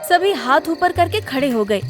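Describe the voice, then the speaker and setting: High-voiced woman narrating a cartoon story. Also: expressive, normal speed, music in the background.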